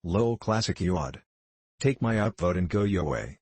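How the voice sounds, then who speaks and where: A man's voice: sits low, lively intonation, measured pace, darkish tone, slightly rough, no echo. A man reading online forum comments aloud in a casual, offhand way. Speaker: comment reader.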